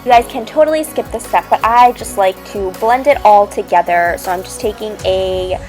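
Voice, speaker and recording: A young woman in her twenties narrating a makeup tutorial in a relaxed, chatty way, slightly sniffly from a cold. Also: medium pitch, normal speed, low-quality recording. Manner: relaxed, chatty step-by-step explaining. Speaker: beauty vlogger. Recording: voice-over with loud background music. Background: music.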